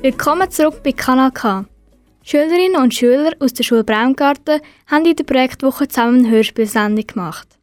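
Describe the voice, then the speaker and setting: A woman's voice: sits high, brisk, no room sound, soft background music. A woman presenting a scripted introduction on radio.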